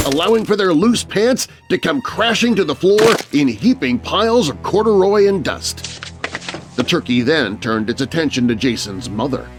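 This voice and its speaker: A man narrating a scary story for children in a dramatic, scripted storytelling style. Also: on the high side.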